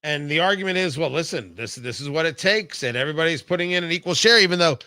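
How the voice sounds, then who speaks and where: A man's voice: on the high side, animated, quick pace, bright, forceful tone, no room sound. A man hosting a conversational talk show, speaking in an emphatic, punchy way from a studio.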